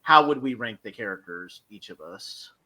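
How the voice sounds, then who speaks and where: A man co-hosting a casual fan review podcast, talking in a rambling, halting and enthusiastic way. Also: fairly low pitch, animated, pushed and bright, low-quality recording. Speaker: podcast co-host.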